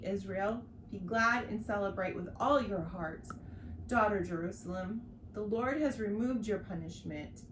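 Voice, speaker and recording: A woman hosting a devotional read-along who reads scripture and reflections aloud in a gentle, earnest way, with light informal asides. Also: mid-range voice. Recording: roomy echo with faint background noise.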